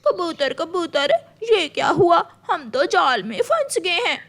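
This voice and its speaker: A woman's voice: very high voice, sing-song, takes it slowly, fairly bright tone, full character voice. A woman voicing an anxious, pleading female crow with a dramatic manner in a children's cartoon. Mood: panicked. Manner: tearful, dramatic. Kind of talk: Hindi children's cartoon dialogue.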